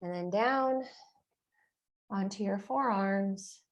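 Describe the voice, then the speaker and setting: A woman teaching a yoga class and guiding poses step by step. She is losing her voice and keeps clearing her throat. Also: mid-range voice, slow. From Reno area, United States. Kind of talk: guided yoga class instruction.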